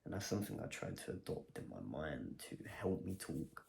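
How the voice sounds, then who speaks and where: Low gravelly man musing aloud. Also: very animated, normal speed, a little mellow. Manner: reflective, rambling, self-questioning. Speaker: vlogger. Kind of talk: personal video diary.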